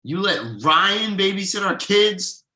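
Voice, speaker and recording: A man playing an exasperated, uptight workaholic father with halting, sardonic delivery in a comedy table read. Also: high-pitched, lively intonation, pushed and bright, exaggerated voice. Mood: indignant.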